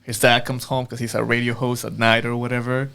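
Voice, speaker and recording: A man's voice: medium pitch, monotone, brisk, gravelly, bright, forceful tone, clear, resonant tone. A man in a casual podcast chat, joking and swearing in loose slang.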